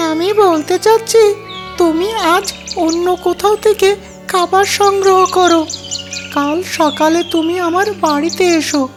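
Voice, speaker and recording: A clever little cartoon mother bird, pipes in an extremely high voice. She speaks slowly and politely, coaxing and persuading. Loud music runs behind her. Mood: coaxing, scheming. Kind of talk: children's cartoon story character acting.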